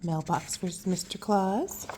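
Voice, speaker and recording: A woman's voice: medium pitch, animated, takes it slowly, mellow, slight background noise. A woman reading a children's picture book at bedtime, adding folksy, cooing asides about the pictures. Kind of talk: bedtime read-aloud with casual asides.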